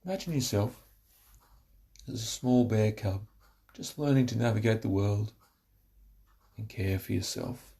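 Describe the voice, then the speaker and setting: A man narrating a scripted guided relaxation, giving slow, instructive phrases with pauses. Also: fairly low pitch, vocal fry, mellow.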